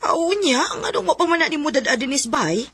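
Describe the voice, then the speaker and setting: An actress playing a caring older mother in a radio drama, gently advising, with a Cebuano Filipino accent. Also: high voice, very animated, a little fast, creaky, full character voice. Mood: probing.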